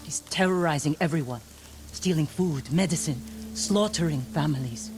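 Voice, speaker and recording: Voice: medium pitch, lively intonation, moderate pace, natural voice. An actor playing a grim, troubled islander in a video game cutscene, somber and urgent. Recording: music and rain behind the voice.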